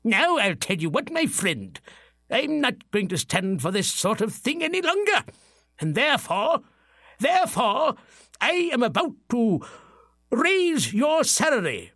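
Elderly reformed miser, squeaky and gleeful, wildly swooping, stammery, storybook. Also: male voice, wildly swooping pitch, a little slow, bright, forceful tone, dry recording, clean studio sound, full character voice. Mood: mock gruff. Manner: giddy, breathless, stammering. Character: elderly miserly man turned giddy and jovial.

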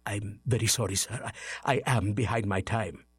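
Man doing meek clerk, hushed and apologetic, storybook. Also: lowish voice, steady tone, moderate pace, husky, no echo, clean studio sound, voice pushed down.

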